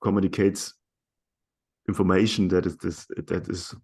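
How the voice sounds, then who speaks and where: A man hosting a podcast interview, speaking in a measured way with some hesitation. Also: low voice, little pitch movement, a little mellow, breathy edge. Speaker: podcast host.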